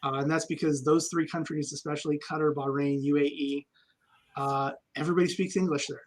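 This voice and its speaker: A man's voice: on the high side, fairly even intonation, brisk, poor audio. A man, an army veteran guest, telling stories candidly and reflectively in a casual interview, with frequent ums.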